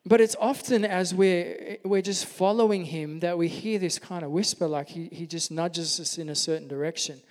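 Male pastor, soft-spoken and earnest, slightly raised pitch; sermon in a reverberant hall. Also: steady tone, normal speed, few pauses. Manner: earnest, gentle preaching.

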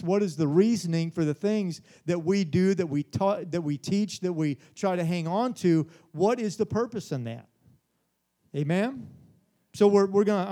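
High-voiced preaching pastor in his forties. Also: dark tone. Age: 40s. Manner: earnest, repeats words, frequent "Amen?". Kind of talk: Bible study sermon.

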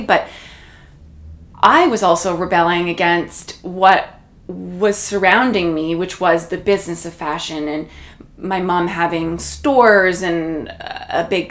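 Low-voiced woman chatting reflectively. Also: unhurried. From Canada. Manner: reflective, chatty, many fillers. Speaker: guest. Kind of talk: conversational interview. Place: room in Toronto.

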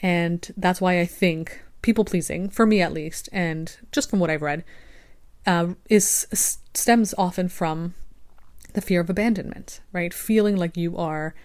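Lady with a bit of rasp doing her solo podcast, kinda low voice, chatty and thoughtful. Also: a little slow, darkish tone. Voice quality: slightly raspy. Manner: reflective, conversational, frequent fillers. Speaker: podcast host. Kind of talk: casual solo podcast monologue.

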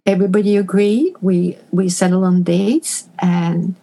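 Middle-aged woman author, rambling and enthusiastic, with a darker, mellow tone and a fairly low voice. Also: unhurried, low-quality recording. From France. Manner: relaxed, enthusiastic, loose rambling sentences. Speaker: author and stylist. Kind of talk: storytelling interview answers.

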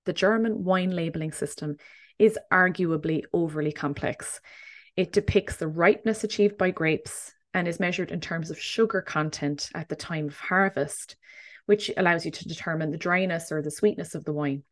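A woman's voice: fairly low pitch, moderate pace. A woman, in her thirties, hosting a casual wine podcast and laughing often. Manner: laughs often, bubbly and chatty. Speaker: podcast host. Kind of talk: casual wine podcast chat and scripted intro.

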